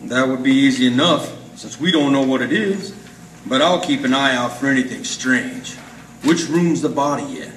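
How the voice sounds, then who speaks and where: A man's voice: medium pitch, lively intonation, normal speed, a bit bright, close to an everyday voice. Male actor as blunt, wary noir partner, 1940s radio style, mid-range voice, roomy sound with faint noise. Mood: practical. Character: wary, gruff-talking noir sidekick man. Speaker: amateur stage radio-drama actor. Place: live performance in an art gallery. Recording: distant, echoey room with faint noise.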